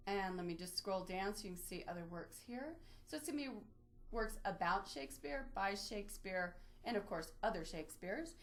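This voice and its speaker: Woman guiding an informal software tutorial. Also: medium pitch, expressive, normal speed. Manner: informal, explanatory, step-by-step. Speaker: librarian presenting a database tutorial. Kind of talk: instructional screen-share webinar walkthrough.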